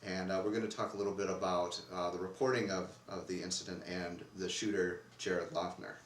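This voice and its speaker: Lowish male co-host, rambling and self-interrupting; roomy echo, faint hiss. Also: fairly even intonation, fairly quick. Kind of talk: casual discussion. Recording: echoey room, distant mic, faint noise.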